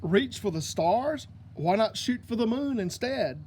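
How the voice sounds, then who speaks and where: Man as slow, grandly declaring little boy. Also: high voice, lively intonation, darkish tone, slight background noise. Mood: announcing. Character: earnest piano-loving boy, slightly grand. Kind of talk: storybook read-aloud.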